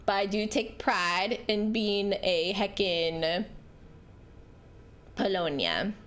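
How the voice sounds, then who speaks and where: A woman's voice: mid-range voice, expressive, takes it slowly, a bit bright. A young woman from rural New York, chatting casually and rambling with lots of fillers while streaming a game. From rural New York, USA. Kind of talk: casual chat while gaming. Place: home, living in Japan.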